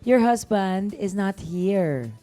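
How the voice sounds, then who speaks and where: A woman hosting an interview, chatty and friendly, firing off quick follow-up questions. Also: medium pitch, expressive, warm tone, soft background music. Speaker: talk show host.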